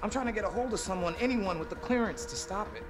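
A man's voice: high-pitched, fast talker, over music, natural voice. An actor playing a brash, foul-mouthed male survivor in a video game, blunt and sardonic. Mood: wry, urgent. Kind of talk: video game cutscene acting.

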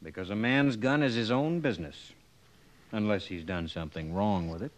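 An actor playing a proud, stubborn young drifter who answers in a guarded, terse way in a 1950s radio western. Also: male voice, lowish voice, moderate pace, close to an everyday voice. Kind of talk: 1950s radio drama acting.

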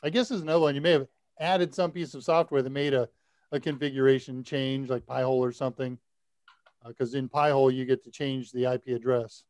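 An older man in his sixties hosting a live tech Q&A, talking casually and deliberately as he thinks aloud. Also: mid-range voice, fairly even intonation, darkish tone, dry recording, clean studio sound. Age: older, 60s. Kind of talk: casual technical advice. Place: indoor room, video call.

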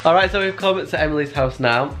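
A man's voice: on the high side, brisk, loud music behind the voice. A young British man chatting casually to his vlog with campy, sassy slang, on a handheld camera. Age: young adult. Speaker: vlogger. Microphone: handheld vlogging camera at arm's length.

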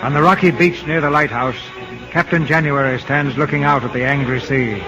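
A man's voice: medium pitch, moderate pace, lo-fi sound, music in the background. A man narrating an old-time radio drama in a scripted storytelling style. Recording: vintage radio recording.

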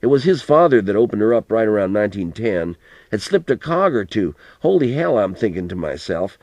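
A man's voice: on the low side, very animated, a little fast, a little mellow, no echo. A man reading a storybook as an elderly farmer grandfather, plain-spoken and folksy, telling rambling, earnest reminiscences with some mild swearing. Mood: musing. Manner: colloquial rural storytelling, plain-spoken, mildly profane.

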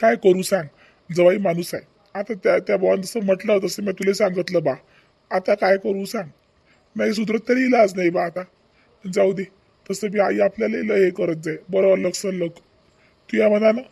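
A man voicing a mild, agreeable village husband in a family comedy cartoon, husky and gravelly, with a rural Vidarbha Marathi accent. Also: high voice, fairly even intonation, normal speed, a little mellow, heavily acted. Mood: resigned, agreeable. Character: mild, agreeable village husband who defers to his wife.

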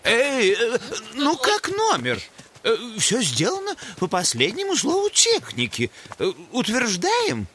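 A man's voice: very high-pitched, huge pitch swings, measured pace, noisy background, heavily acted. A man voicing an earnest cartoon animal who hesitates and stalls as he presents his work. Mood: hopeful, unsure. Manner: hesitant, full of stalling interjections.

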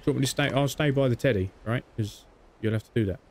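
A man chatting casually while playing a co-op game, with a soft, soothing voice and a joking manner. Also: mid-range voice, quick pace, slight background noise. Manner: joking, mock-narrates his friend's life. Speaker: gamer co-op player. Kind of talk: casual gaming chat.

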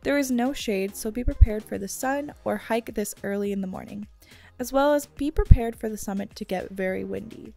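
A woman's voice: medium pitch, normal speed, gravelly, mellow, soft background music. A woman reading a scripted travel guide narration for a vlog.

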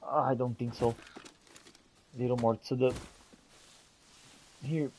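A man's voice: medium pitch, fairly even intonation, slow pace, mellow, a little hiss or hum. A man doing in-character gameplay commentary, exclaiming and talking to himself and his game companions. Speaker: gamer playing in character.

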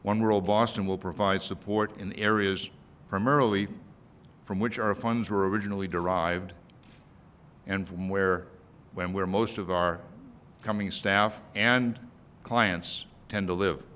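Deep-voiced older man at a podium. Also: fairly even intonation, unhurried, a bit bright. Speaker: keynote speaker. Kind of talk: prepared speech read from notes. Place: event hall at a podium. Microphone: podium microphone. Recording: faint rumbly noise, podium microphone.

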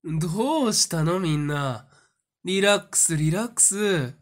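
A man playing a smug young madman in a voice drama, suave, mocking and drawn-out in his delivery. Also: high-pitched, lively intonation. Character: smug, theatrical young male madman relishing a deadly game. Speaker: voice actor. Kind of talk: anime-style voice drama acting.

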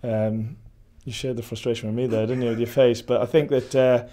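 A Welsh football coach in his forties answers post-match questions indoors, close to the mic. His voice is mellow and dark, and his delivery stays level, with frequent restarts and fillers as he thinks aloud. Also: medium pitch, level tone, fairly quick. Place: indoor press backdrop at a stadium.

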